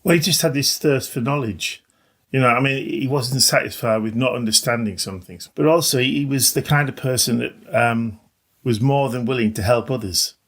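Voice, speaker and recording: A man's voice: medium pitch, moderate pace, a bit bright. A middle-aged man, talking casually and modestly as an interview guest, a little hesitantly. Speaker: interview guest, maths teacher. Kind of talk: casual conversation.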